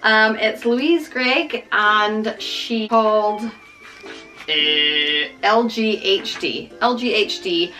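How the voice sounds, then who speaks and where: Chatty middle-aged American woman with bright tone. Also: mid-range voice, extremely slow, soft background music, poor audio. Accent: American, with some Scottish. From United States. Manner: chatty, self-deprecating, many ums. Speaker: vlogger. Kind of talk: casual talk to camera.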